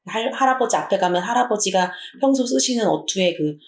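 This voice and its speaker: Jeju-accented woman teacher, lively voice going up and down, echoey room. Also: mid-range voice, brisk. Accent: Jeju Korean. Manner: chatty, animated anecdote telling.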